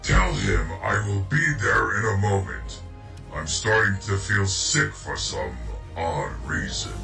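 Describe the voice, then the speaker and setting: A low, slow-talking jackal villain, played by a man, proud and menacing in a fan-made cartoon dub. He issues clipped, imperious lines, heard from off the mic in a roomy space with loud music behind. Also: lively intonation, vocal fry, distant mic, full character voice. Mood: unwell. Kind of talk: fan comic dub voice acting.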